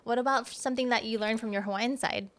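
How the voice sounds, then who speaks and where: A woman's voice: on the high side, fairly even intonation, fast talker. Attentive host woman, faint noise. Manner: attentive, lots of affirming backchannels. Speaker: interview host. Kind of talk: casual interview chat.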